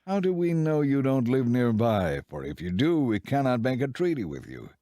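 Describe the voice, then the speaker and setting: A man's voice: medium pitch, very animated, moderate pace, warm tone, no echo, clean studio sound, voice pushed up, close to an everyday voice. A man playing a stern leader of the Israelites in a dramatized scripture audiobook, grave and demanding. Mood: suspicious, demanding.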